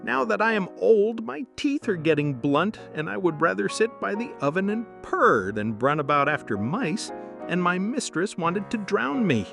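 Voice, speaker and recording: A man's voice: high voice, big pitch swings, moderate pace, vocal fry, music in the background, pitched above the performer's own voice, heavily acted. A man voicing an old, dismal, self-pitying cat in a storybook tale. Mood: gloomy, complaining. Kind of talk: storybook character voice.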